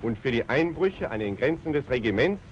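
A man's voice: medium pitch, animated, a little fast, natural voice. Brief: male actor as a strict army commander, clipped formal orders, dull old film sound. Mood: commanding. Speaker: regimental commander briefing officers. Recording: dull 1940s film soundtrack with faint hiss.

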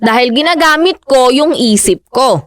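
A determined, practical fisherman's wife and mother who presses her case insistently. Her fairly high voice is recorded close and dry, with no room sound. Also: lively intonation, normal speed, a bit bright, no room sound. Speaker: wife in the drama. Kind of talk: radio drama acting.

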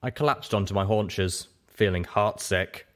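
A man's voice: on the low side, unhurried, fairly bright tone. A man reading a story aloud as scripted first-person narration.

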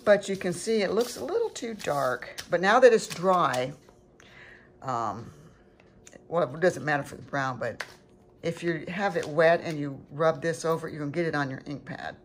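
Low-voiced older woman, noisy recording. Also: normal speed. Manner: rambling, chatty, self-correcting. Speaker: craft tutorial host. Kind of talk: casual craft demonstration, talking through steps. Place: home kitchen at a countertop. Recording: clearly noisy home recording with clicks.

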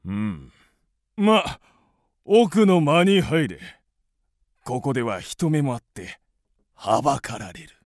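A man playing a scheming, imperious ancient Chinese king, pompous and deliberate, in a radio drama. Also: mid-range voice, very animated, a little mellow, no echo, clean studio sound. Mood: sly.

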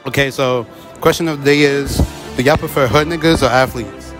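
A man's voice: mid-range voice, a little fast, over music. A young man in his late teens hosting a casual street interview in a shopping mall on a handheld mic, talking in laid-back slang and repeating short affirmations.

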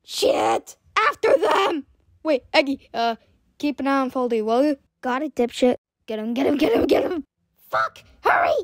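A blunt, foul-mouthed little cartoon object, voiced by a woman for an amateur comedy dub. The voice sits high and snaps out its lines. The sound is dry and close, with no room to it. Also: sing-song, normal speed, no echo. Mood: frantic, annoyed. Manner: blunt, sweary. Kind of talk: amateur comic cartoon voice-over.

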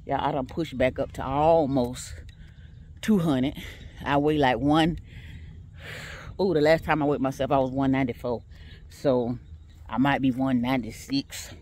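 A woman's voice: extremely low pitch, fairly even intonation, unhurried, long pauses, darkish tone, a little hiss or hum. A woman chatting casually to her viewers while she walks on an outdoor track, laughing often and talking straight to them. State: walking outdoors, a bit tired. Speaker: vlogger.

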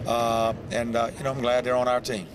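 An older man, a basketball head coach, answering post-game questions courtside in a calm, halting way with many restarts. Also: medium pitch. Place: indoor basketball arena, courtside. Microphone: handheld reporter mic. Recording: crowd noise in a large arena. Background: arena crowd.

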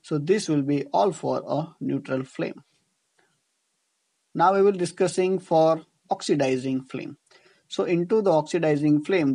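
A man's voice: a little high, warm tone, slightly rough. A man with an Indian accent giving a scripted tutorial as an engineering teacher, explaining didactically and rephrasing his points. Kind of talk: scripted lecture narration over slides.

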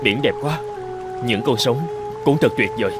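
A man's voice: mid-range voice, moderate pace, loud music behind the voice, close to an everyday voice. A man voicing an earnest captive island chieftain in a cartoon fairy tale, protesting and pleading. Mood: wistful. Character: brave adult male island chieftain held captive.

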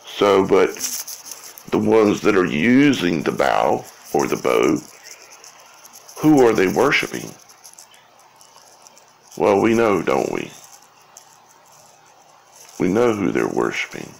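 A man talks through his explanation informally, at a very slow, halting pace. His voice is heavy with vocal fry and swings widely in pitch. He repeats himself and often tags phrases with a questioning check on the listener. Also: wildly swooping pitch, low-quality recording. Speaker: video creator.

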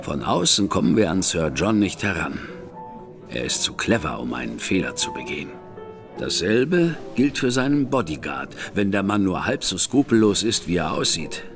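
An actor playing a composed, shrewd older brother who lays out plans in a measured, wry manner. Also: male voice, low voice, very animated, over music. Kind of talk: German audio drama acting. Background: faint background score.

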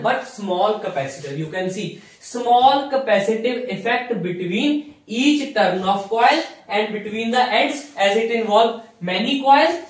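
A young man teaching in lecture style, repeating key phrases for emphasis and often saying okay. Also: sits high. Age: young adult. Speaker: physiotherapy teacher. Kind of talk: classroom-style lecture at a whiteboard. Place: small bare indoor room with whiteboard. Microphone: camera mic a few steps away. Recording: very echoey room, distant microphone.

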